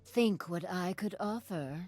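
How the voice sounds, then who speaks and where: A woman voicing a seductive, scheming half-snake villainess for a video game, with a sultry, teasing, unhurried delivery. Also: fairly low pitch, a little mellow, faint music behind. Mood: sultry, menacing. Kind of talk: video game character voice lines.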